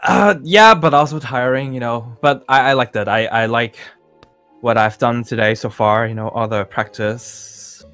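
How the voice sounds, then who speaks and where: A man playing a self-assured young male pop singer in an improvised Minecraft roleplay, talking in a halting, rambling, nonchalant way. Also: medium pitch, a bit bright, clean tone, no echo. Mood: casual, encouraging. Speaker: roleplay performer. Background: music.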